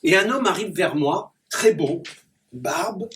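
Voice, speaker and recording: A man with a high voice tells a little story and then recites rhyming comic verse, clearly enjoying his own wordplay. He is picked up from a distance, with some background noise in the room. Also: lively intonation, takes it slowly, a bit bright. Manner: playful wordplay, chuckles at his own puns. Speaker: clown and poet. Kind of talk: reciting comic rhyming poems to a small gathering.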